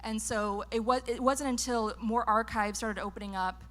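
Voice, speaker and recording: A woman's voice: fairly high pitch, fairly even intonation, normal speed. A woman from Northern California with an American accent, giving an earnest conference talk on a handheld microphone, with frequent ums and restarts. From Northern California, USA. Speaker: public speaker. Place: lecture venue.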